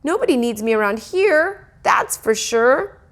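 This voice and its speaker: A woman's voice: fairly high pitch, very animated, measured pace, voice pushed up. A woman voicing an aggrieved fourth-grade boy in a storybook, sulky and complaining with indignation. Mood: sulky, hurt.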